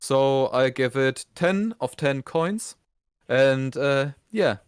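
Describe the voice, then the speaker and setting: A man's voice: medium pitch, animated, slow, smooth, no echo, studio quality. A man co-hosting a review show, giving his opinions in casual conversation.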